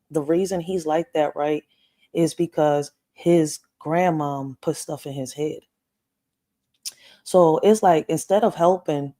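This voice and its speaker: A woman's voice: sits low, mellow. A woman from Puerto Rico talking straight to her viewers about parenting and family, emphatic, checking in often with "right?" and "you know". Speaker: parenting vlogger. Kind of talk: casual personal monologue to viewers.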